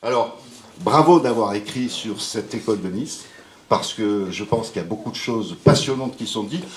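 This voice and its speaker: A man's voice: on the low side, lively intonation, normal speed, slight background noise. A man giving a rambling, hesitant speech to an audience at a book launch. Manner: rambling, hesitant, with jokes and praise. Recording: roomy hall with faint ambience.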